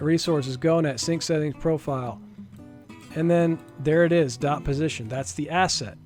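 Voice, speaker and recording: A man's voice: a little high, darkish tone. A man giving an informal, explanatory screen-recorded tutorial as a game developer, thinking aloud as he goes. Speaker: game developer presenting a software demo.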